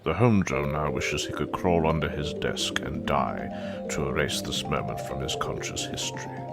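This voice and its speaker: An actor narrating in a measured, deadpan nature documentary style. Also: male voice, rumbling low, vocal fry, over loud music, heavily acted. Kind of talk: scripted narration.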